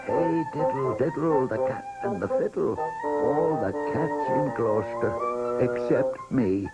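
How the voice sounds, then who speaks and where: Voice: medium pitch, huge pitch swings, slow pace, voice pushed up, full character voice, poor audio. A storybook narrator voicing a hungry, sulky tomcat chanting a rhyme. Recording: loud music underneath.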